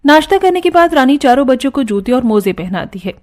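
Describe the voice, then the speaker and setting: A woman giving scripted narration for a cartoon story in an even, descriptive way. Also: sits high, fairly quick.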